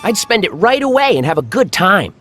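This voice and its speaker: A man voicing a cautious, easily spooked boy sidekick in an animated storybook. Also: high-pitched, fairly quick, soft background music, full character voice. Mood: wary. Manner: hesitant, wary, easily spooked.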